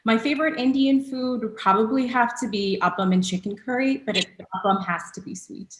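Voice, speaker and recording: A woman's voice: fairly high pitch, fairly even intonation, fairly quick, slight background noise. A woman from Kuwait introducing herself conversationally as a panelist. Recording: echoey room sound.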